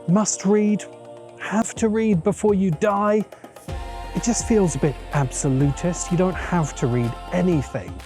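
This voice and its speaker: A man's voice: high voice, warm tone. A man hosting a science fiction channel, giving a scripted video essay narration in a dramatic, musing way with dry asides.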